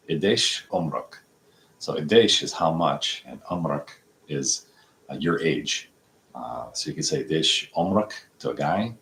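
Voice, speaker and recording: A man in his fifties giving a casual, halting practice lesson, breaking phrases down word by word with many pauses and fillers. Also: very deep voice, creaky, fairly bright tone, a little hiss or hum, rough recording. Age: 50s. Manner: slow, halting explanations with many fillers, breaks words down piece by piece. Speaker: language learner teaching from a textbook dialogue.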